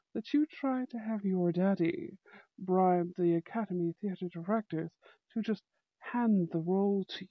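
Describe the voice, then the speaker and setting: ASMR roleplay role: aloof young male prince played by a woman, measured, formal, commanding; dry, muffled sound. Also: mid-range voice, no echo, pitched below the performer's own voice, close to an everyday voice. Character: young male bad-boy school prince, protective, aloof. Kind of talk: amateur ASMR audio roleplay acting.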